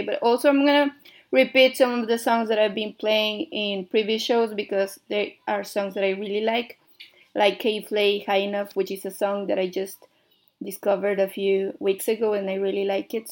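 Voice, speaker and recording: A young Mexican woman who speaks Spanish natively, with a mixed Mexican and American-tinged accent, hosting a casual radio show and rambling with lots of "like" and "I don't know". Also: mid-range voice. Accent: Mexican, mixed with American English.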